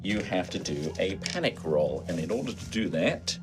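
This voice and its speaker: A man's voice: low voice, sing-song, normal speed. A man narrating a tabletop roleplaying game as game master, conversational with theatrical emphasis when he describes scenes. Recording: background music under the voice.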